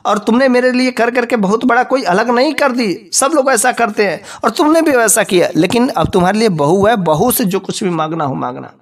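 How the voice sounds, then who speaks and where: A man's voice: high-pitched, a little fast. A man voicing a selfish, arrogant young husband in a story, scolding and defiant. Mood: dismissive.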